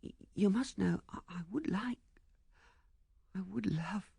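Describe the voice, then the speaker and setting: A man voicing a timid, hesitant young sweetheart in an audiobook, with a hushed, breathy, faltering delivery. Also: high voice, slow pace, dry recording, studio quality, pitched above the performer's own voice, full character voice. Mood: shy, yearning. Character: timid, hesitant young woman, perplexed yet practical sweetheart. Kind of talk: audiobook character dialogue.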